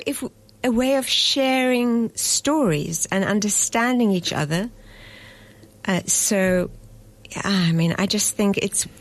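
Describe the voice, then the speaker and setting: Slow-speaking middle-aged woman, measured. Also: mid-range voice. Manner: measured, articulate, spells words out carefully. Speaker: festival director, guest. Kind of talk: radio interview.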